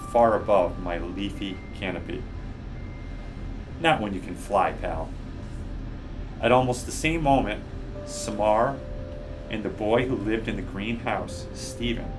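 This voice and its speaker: A man with a lowish voice reads a children's story aloud. He phrases it deliberately and takes plenty of time, while music plays clearly behind him. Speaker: guest storybook reader. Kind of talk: children's book read-aloud.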